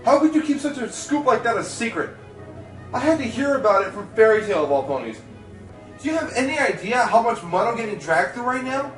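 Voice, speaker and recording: A man's voice: very high-pitched, big pitch swings, a little fast, soft background music. A man voicing an eager, earnest young pony news reporter in an amateur radio play. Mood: indignant. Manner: earnest, emphatic. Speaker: voice actor in an audio drama. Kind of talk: fan radio play acting.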